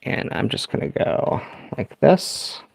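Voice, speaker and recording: A man casually showing off his shortwave radios, explaining his gear step by step with a relaxed, joking manner. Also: slow, dry recording. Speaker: radio hobbyist vlogger. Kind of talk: casual show-and-tell.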